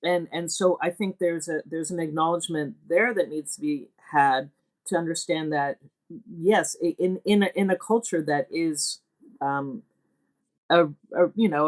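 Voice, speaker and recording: A woman's voice: low voice, normal speed. A woman interviewed as a theatre artist, reflective and hesitant, working through her thoughts as she speaks. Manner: hesitant, deliberating, self-questioning.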